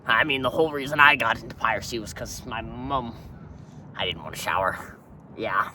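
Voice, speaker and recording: A man's voice: medium pitch, normal speed, noisy background, close to an everyday voice. A man voicing a crude, swaggering, unwashed pirate in a comic monologue, rambling offhand and calling everyone "matey" in pirate slang.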